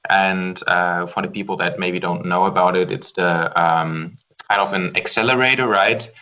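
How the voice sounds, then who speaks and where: A man's voice: sits low, fairly even intonation, moderate pace, sharp tone, highs cut off. A man hosting an interview podcast, speaking casually with frequent fillers and hesitations. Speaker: podcast host.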